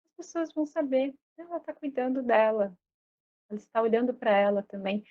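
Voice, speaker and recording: A woman hosting a live conversation, speaking gently and reflectively, often checking in with "né?". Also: a little high, animated, brisk, lo-fi sound. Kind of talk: casual chat.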